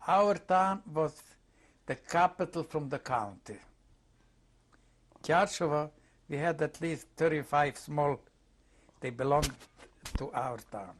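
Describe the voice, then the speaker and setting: Slow-speaking elderly man with Hungarian accent. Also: on the high side. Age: 80s. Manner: halting, searching for words. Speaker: interviewee. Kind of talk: oral history testimony.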